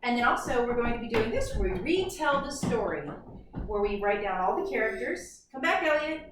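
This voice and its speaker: A woman teaching young children through an interactive read-aloud, expressive as she tells the story, often stopping to ask questions and redirect her listeners. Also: on the high side, fairly quick. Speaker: primary school teacher. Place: echoey classroom. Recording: very echoey room, distant mic, background noise.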